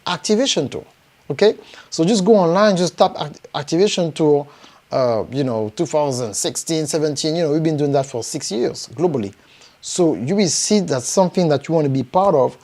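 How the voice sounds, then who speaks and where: A man with a French accent, a native French speaker, giving a live motivational pitch to viewers as a business coach, emphatic and repetitive, with frequent rising bursts. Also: animated, normal speed, a little mellow. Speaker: business coach promoting his event.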